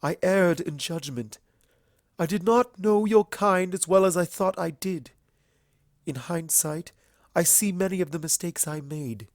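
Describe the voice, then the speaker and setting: A regal mare of the night, played by a man in a fantasy storybook. The voice is lifted in pitch, solemn and earnest. The phrasing is formal and measured, in a dry recording. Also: no echo. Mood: regretful.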